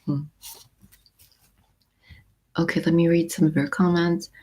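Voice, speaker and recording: A woman's voice: on the low side, flat delivery, a little slow, a little mellow, breathy edge, rough recording. A woman hosting a casual livestream, raised in the US with Japanese as her mother tongue, reading viewer comments and explaining lyrics with frequent ums and restarts. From born in Japan, raised in the US. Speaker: livestream host.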